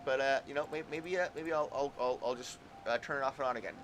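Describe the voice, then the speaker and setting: Halting male game streamer chatting over faint music. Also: mid-range voice. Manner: halting, repeats words, mild exclamations. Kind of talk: casual livestream chat and commentary.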